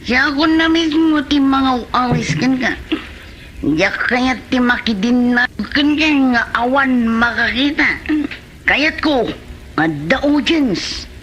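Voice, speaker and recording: Boastful comedy martial-arts master male actor, extremely high voice, slow delivery, Ilocano accent, dull recording with chatter behind. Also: a bit bright, a little hiss or hum, exaggerated voice. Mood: swaggering. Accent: Ilocano Filipino. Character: boastful, swaggering male taekwondo master who challenges others. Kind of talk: Ilocano comedy drama acting.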